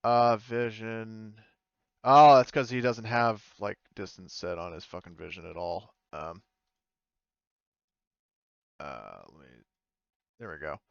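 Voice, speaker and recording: A man's voice: fairly low pitch, slow pace, creaky, long pauses, clear, resonant tone, no echo, lo-fi sound. A man running a tabletop game as game master, talking informally and swearing casually. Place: close, dry mic in an online game session.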